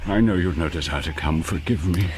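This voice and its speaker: A British actor playing a grieving, remorseful gentleman squire in a radio drama. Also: deep voice, fairly quick, slight background noise, close to an everyday voice. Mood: pleading, tender.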